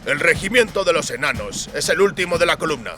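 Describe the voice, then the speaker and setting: A man's voice: a little high, expressive, fast talker, music in the background, pitched above the performer's own voice, close to an everyday voice. A man voicing a scornful, worldly mercenary soldier in an audiobook, with a sardonic, cutting manner. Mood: commanding. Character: scornful, worldly male mercenary soldier, mocking know-it-all. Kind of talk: audiobook character acting.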